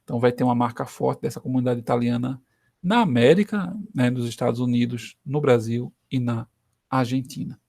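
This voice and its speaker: A Brazilian man teaches history in a relaxed, conversational tone, tagging questions onto his sentences to keep students with him. His voice sits at an ordinary middle pitch. The recording is dry and close, with no room sound. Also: a little fast, vocal fry, no room sound. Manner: conversational, frequent "né" tag questions.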